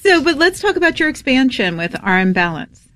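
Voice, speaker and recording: A woman's voice: high-pitched, sing-song, brisk, a bit bright. A woman hosting a studio interview show, speaking in a chatty, informal, conversational way. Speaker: talk show host.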